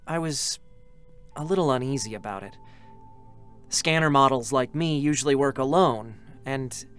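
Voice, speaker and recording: A man's voice: medium pitch, clean tone, close to an everyday voice. An eager, boyish android scout played by a man, light and youthful for a male voice. He talks earnestly and openly, with a hesitant, emotional streak, in a dramatic game-story reading over music.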